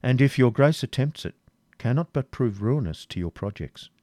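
A man's voice: medium pitch, animated, moderate pace, warm tone, dry recording, clean studio sound, natural voice. A man voicing a stern senior royal adviser in a storybook reading, grave, firm and cautioning. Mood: grave warning.

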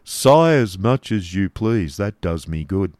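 Man acting a gloomy, snarling phantom, slow and grim. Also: low-pitched, expressive, a little mellow, no room sound, clean studio sound. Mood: pained, scared. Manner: grim, snarling, drawn-out delivery. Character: gloomy, petulant male apparition urging despair. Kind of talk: dramatized storybook reading.

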